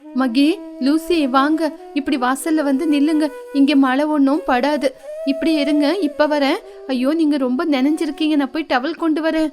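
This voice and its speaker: A woman voicing a kind, humble mother crow in a children's moral story cartoon, with a gentle, reassuring manner. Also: high voice, fairly even intonation, moderate pace, faint music behind, lightly acted. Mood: caring.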